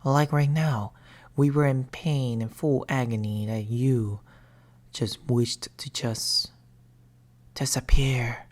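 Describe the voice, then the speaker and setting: A woman voicing a patient, mournful male sleep paralysis demon in a hushed, formal ASMR roleplay, often whispering close to a binaural microphone. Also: extremely low pitch, fairly even intonation, slow pace, exaggerated voice. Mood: wounded, brooding.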